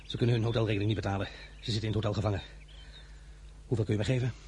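An actor playing a proud, ambitious young general in a radio play, commanding and quick to flare up. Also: male voice, on the low side, fairly even intonation, warm tone, a little hiss or hum, lightly acted. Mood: resolute.

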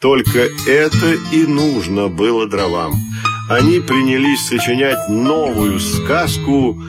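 A man narrating a scripted fairy tale for children in an expressive, deliberate storytelling manner. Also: mid-range voice, loud music behind the voice.

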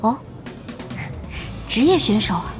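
A woman's voice: on the high side, very animated, slow, over music. A woman acting as a composed, confident young gamer in an audio drama. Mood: curious.

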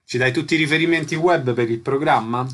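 A man hosts an arts show on the radio and speaks in short, announcing lines. He reads out dates and places at a middle pitch. He thanks each guest in the same brief way. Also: brisk, a bit bright. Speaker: radio host. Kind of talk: radio interview hosting.

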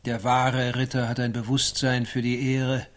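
An actor playing a pompous, learned knight who lectures on honour and rules in stilted wording in a satirical radio play. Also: male voice, mid-range voice, completely flat delivery, measured pace, natural voice. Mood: pompous, instructive.

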